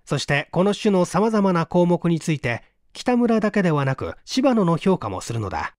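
A man reading a literary mystery story aloud as an audiobook narrator, with theatrical, expressive delivery. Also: high voice, normal speed, dry recording, clean studio sound. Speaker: audiobook reader.

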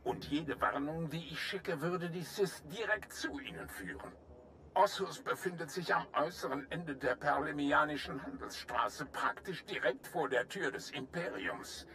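Game role: grave alien general, bright commanding tone, formal and courteous, noisy ambience. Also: male voice, on the high side, lively intonation, heavily acted. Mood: urgent, grave. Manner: formal, measured, earnest. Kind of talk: German video game voice acting.

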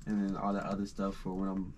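A man's voice: deep voice, completely flat delivery, quick pace, a little mellow, a little hiss or hum. A young man, a recording artist, chatting casually in a small home studio, talking through his nose and rambling with slangy restarts. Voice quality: nasal.

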